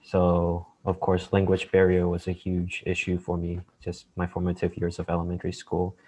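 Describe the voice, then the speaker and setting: A man from Malaysia talking over a headset on a video call. His voice is deep and flat, and he speaks softly, with plenty of fillers and sentences that trail off. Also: normal speed, breathy edge. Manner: soft, hesitant, many fillers, trailing off quietly. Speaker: panelist. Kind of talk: casual discussion on a video call. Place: home room.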